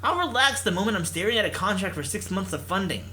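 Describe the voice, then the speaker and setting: A man's voice: high voice, sing-song, fast, sharp tone, full character voice. A man voicing a stern, anxious young woman who leads a game team, clipped and scolding. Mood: tense.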